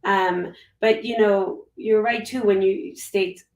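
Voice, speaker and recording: A woman's voice: mid-range voice, fairly even intonation, slow pace, a little mellow, distant mic. A woman whose first language is French talks in a candid, upbeat way, in long run-on thoughts, as a guest in casual conversation. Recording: echoey room, voice off-mic.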